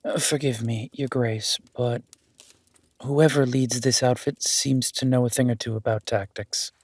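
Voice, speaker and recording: Man as a husky, measured warrior duke. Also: medium pitch, steady tone, a little mellow, dry recording, close to an everyday voice. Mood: respectful, cautious. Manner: measured, respectful, hesitant. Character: deferential, cautious warrior duke, leader of a pack. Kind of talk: fantasy audio drama acting.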